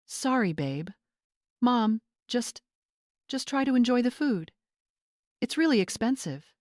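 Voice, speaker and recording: A woman's voice: on the high side, sing-song, slow, a little mellow, dry recording, clean studio sound, voice pushed up. A woman voicing a spineless, placating man of thirty in an audiobook story, hesitant and apologetic. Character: spineless thirty-year-old son, meek and placating.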